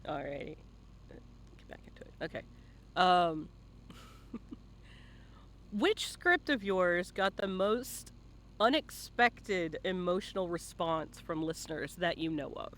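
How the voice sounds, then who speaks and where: A man's voice: high voice, lively intonation, takes it slowly, pauses often, a bit bright. A man hosting a casual live-stream interview, asking questions and reacting informally to the answers.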